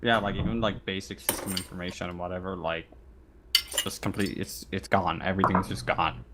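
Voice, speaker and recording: A man improvising narration as game master of a tabletop roleplaying game, speaking informally and haltingly. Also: low-pitched, little pitch movement, heavy background noise.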